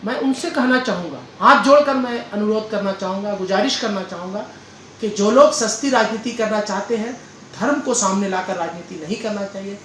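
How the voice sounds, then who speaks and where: A man giving political interview answers in a deliberate, oratorical way, repeating phrases. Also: high voice, a bit bright. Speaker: political leader being interviewed. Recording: echoey room with faint background noise.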